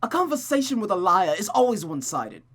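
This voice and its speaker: A performer voicing an angry, jealous, sharp-tongued woman in a visual novel. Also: medium pitch, big pitch swings, fairly quick, voice pushed up. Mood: furious, accusing.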